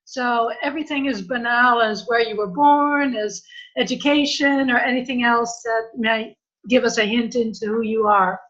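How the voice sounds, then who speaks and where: A woman interviewing a guest over a video call, courteous and measured, slightly halting. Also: fairly high pitch, steady tone, a bit bright, highs cut off. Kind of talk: polite formal interview.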